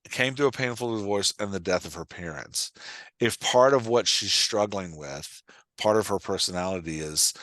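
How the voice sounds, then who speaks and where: A man's voice: on the low side, fairly bright tone, no echo. A man hosting and coaching in a conversational, explanatory way, often checking that the listener follows. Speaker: podcast host and writing coach.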